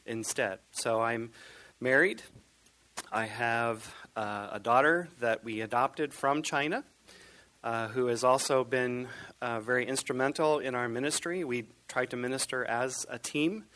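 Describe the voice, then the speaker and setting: An American man on campus ministry staff, introducing himself on a panel in a steady, matter-of-fact way with light fillers. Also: mid-range voice. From United States.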